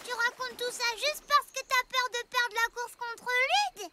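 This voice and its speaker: A woman voicing a small, excitable and theatrical child, a loyal friend in a children's cartoon. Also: very high voice, unhurried. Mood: cheeky. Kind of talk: French animated children's cartoon dub.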